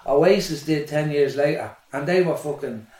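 A man's voice: fairly high pitch, moderate pace, a little mellow. A middle-aged man, a musician guest, telling stories in a rambling, casual way that is full of swearing and "do you know what I mean". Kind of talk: casual podcast storytelling. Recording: echoey room, voice a bit far from mic.

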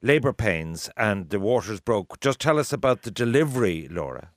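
A man's voice: fairly low pitch, sing-song, moderate pace, no room sound, clean studio sound. A man hosting a radio interview, probing with questions. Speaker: radio host.

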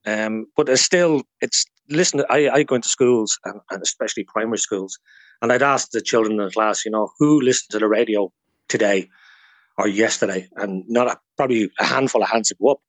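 A man's voice: lowish voice, fairly quick, dry recording. A man with an Irish accent talking as a guest historian in a radio interview, explaining things informally through anecdotes.

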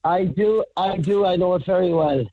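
Male correspondent, higher voice, tinny phone audio. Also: moderate pace.

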